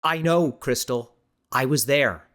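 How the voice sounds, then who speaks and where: A man's voice: mid-range voice, slow, fairly bright tone, pitched above the performer's own voice. A man playing a skeptical, easily exasperated eighteen-year-old boy in an audiobook, sardonic and defensive, hesitant when cornered. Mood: snappish.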